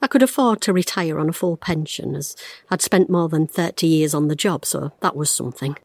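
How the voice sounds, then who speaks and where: A woman's voice: sits low, a little fast, a little mellow, dry recording. A blunt retired detective in her fifties. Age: 50s. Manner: wry, blunt, self-mocking, fraying under strain. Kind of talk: audio drama monologue.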